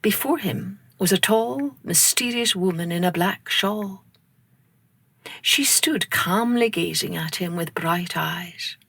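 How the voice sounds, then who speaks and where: Female storyteller for kids, lowish voice, very slow and expressive, music bed underneath. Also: gravelly, slightly rough, no echo. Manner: expressive, unhurried read-aloud with soft whispered asides. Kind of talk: children's storytelling narration.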